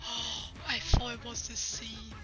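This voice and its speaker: A woman's voice: a little high, completely flat delivery, slow pace, rough recording. A woman streaming a video game with casual, blunt, slangy commentary, muttering reactions as she plays. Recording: game music behind the voice. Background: video game music.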